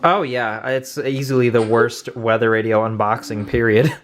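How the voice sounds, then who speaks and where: A man's voice: medium pitch, steady tone, normal speed. A young man casually hosting an unboxing video, informal and prone to swearing. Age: young adult. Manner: informal, crude-joking, swearing. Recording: noisy room recording with clicks.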